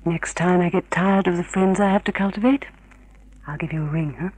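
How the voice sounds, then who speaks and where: A woman's voice: lowish voice, steady tone, brisk, a little mellow, husky. A woman playing an arch, sophisticated, flirtatious society woman in a vintage radio drama. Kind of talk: 1950s radio drama acting.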